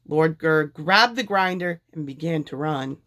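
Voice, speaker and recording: A woman's voice: low-pitched, animated, measured pace, a bit bright. A woman reading a children's story aloud as narrator. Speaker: storybook reader.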